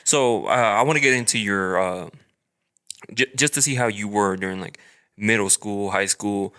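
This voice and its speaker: A man with a low voice and a bright, forceful edge hosts a casual podcast chat. He rambles, restarts his sentences and leans on fillers as he keeps the conversation friendly. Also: normal speed. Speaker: podcast host.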